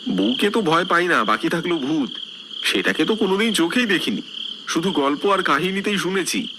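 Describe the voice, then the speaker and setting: A man's voice: on the high side, lively intonation, moderate pace, lightly acted. A man playing a good-natured young married villager chatting casually in a cartoon. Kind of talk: cartoon dialogue. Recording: noisy night ambience with insects.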